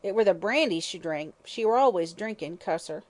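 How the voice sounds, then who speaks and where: Woman playing a drunken old hag, croaking, snarling, high and loud, storybook villainess. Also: expressive, moderate pace, close to an everyday voice. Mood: surly. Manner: croaking, snarling, coarse slang. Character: vicious drunken old female hag fortune-teller.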